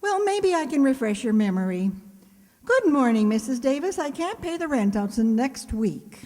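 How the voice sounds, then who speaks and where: Wry, swooping high-voiced schoolteacher played by an actress. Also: female voice, very animated, normal speed, dark tone. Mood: dry, breezy. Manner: dry sarcasm. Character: wry, quick-witted woman schoolteacher, hopeful in love. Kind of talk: comedic old-time radio acting. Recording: hall-like, long reverb.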